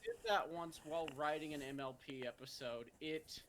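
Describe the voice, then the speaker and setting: A man's voice: on the high side, normal speed, a bit bright, slight background noise. A man chatting casually and bluntly after a group voice-acting session, swearing freely. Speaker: amateur voice actor. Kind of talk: casual post-show chat.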